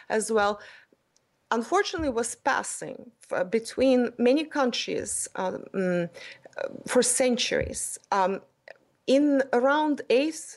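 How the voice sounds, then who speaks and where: A middle-aged woman raised in Ukraine, a native Russian speaker, talking as a radio interview guest and explaining history with many ums and restarts. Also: on the high side.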